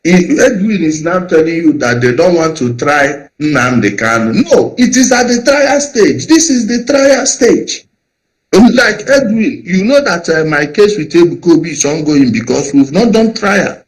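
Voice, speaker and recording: A man with a slightly high voice moderates a call-in debate. He speaks urgently and cuts in often to steer the exchange. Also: big pitch swings, a little slow, low-quality recording. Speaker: host.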